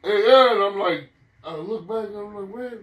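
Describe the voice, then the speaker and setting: Higher-voiced middle-aged man, roomy and distant. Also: moderate pace, highs cut off. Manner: profane, repetitive, acts out reactions. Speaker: storyteller. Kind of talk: animated casual storytelling. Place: living room couch, indoors.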